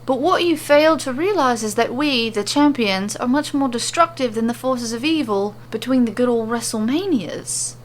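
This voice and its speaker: A woman narrator plays a swaggering Irish champion of a fantasy tale. She is theatrical, jolly and chummy, with a grim streak. The voice sits a little high, over faint noise. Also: normal speed, hardly any pauses, natural voice. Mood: grandiose. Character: jolly, boastful Irish woman warrior champion, friendly then grimly dour.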